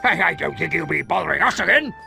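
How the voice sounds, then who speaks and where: Posh British billy goat, pompous, high gravelly voice, swooping pitch, cartoon fandub, loud music behind. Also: male voice, animated, quick pace, exaggerated voice. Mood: jolly, triumphant. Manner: pompous, old-fashioned exclamations. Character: blustering posh father goat, pompous and boastful. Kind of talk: cartoon game fandub acting.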